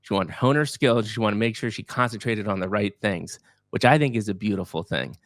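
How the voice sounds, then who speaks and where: A man in his forties hosting a podcast, energetic and effusive, often repeating words as he gets excited. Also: on the low side, brisk, no echo, clean studio sound. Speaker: podcast host. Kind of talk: enthusiastic podcast interviewing.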